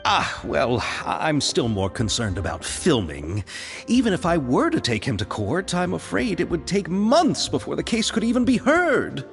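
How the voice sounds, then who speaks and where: An actor playing an earnest, polite and easily flustered film director in a video game, eager and apologetic. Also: male voice, a little high, wildly swooping pitch, normal speed, vocal fry, in a large hall, soft background music, full character voice. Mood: worried.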